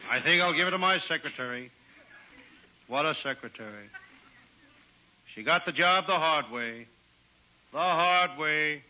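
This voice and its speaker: An actor playing a wisecracking hard-boiled private eye, delivering comic lines deadpan in 1940s radio style. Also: male voice, on the high side, a little slow, pauses often, some chatter behind, heavily acted. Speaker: comic detective narrator. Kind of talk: 1940s radio comedy acting. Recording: dull old radio transcription with hiss.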